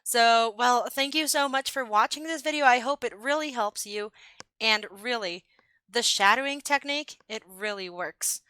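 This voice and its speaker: A young adult woman with an American accent, giving an upbeat, clearly paced online English lesson and modeling phrases emphatically. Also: a little high, normal speed, sharp tone, no echo. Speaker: English teacher.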